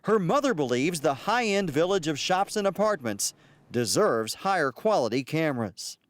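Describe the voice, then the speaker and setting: A man's voice: a little high, sing-song, moderate pace. An American man giving a live television news report as a field reporter, outdoors.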